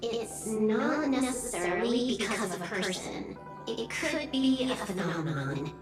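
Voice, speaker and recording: A woman playing a cool, pragmatic female accomplice in a video game, measured, laconic and matter-of-fact. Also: a little high, animated, echoey room, far from the mic, over music. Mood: grave, frank.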